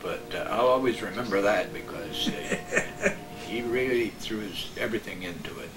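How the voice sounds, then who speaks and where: An older man, a veteran radio broadcaster, reminiscing casually and telling anecdotes in a halting, hesitant way. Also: lowish voice, over music. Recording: roomy, distant mic, noisy background.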